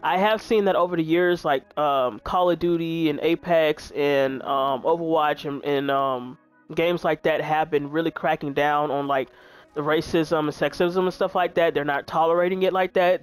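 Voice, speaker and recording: A woman in her twenties with a deep voice, chatting candidly to her viewers while she plays a video game. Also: steady tone, moderate pace, clear, resonant tone, soft background music. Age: 20s. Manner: frank, chatty, talks straight to viewers. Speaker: gaming YouTuber.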